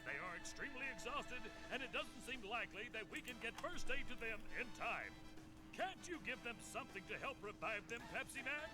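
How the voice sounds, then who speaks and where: A man's voice: extremely high pitch, expressive, brisk, rough recording. A man voicing an urgent male mission briefer in a video game cutscene, speaking earnestly over music.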